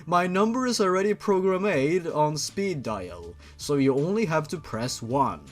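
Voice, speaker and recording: Man as monster father, formal, measured, protective authority, storybook character, music behind. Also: on the high side, big pitch swings. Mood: calm, instructive.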